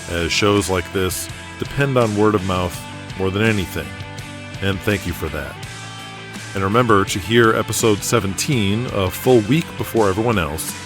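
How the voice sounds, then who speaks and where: An American man giving scripted dramatic narration as the narrator of an audio series. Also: low voice. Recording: music under the voice.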